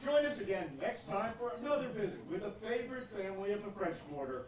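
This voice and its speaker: A man's voice: sits high, sing-song, fast, recorded from a distance. A man announcing a live show in the style of an old-time radio announcer. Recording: noisy echoey stage, audience behind.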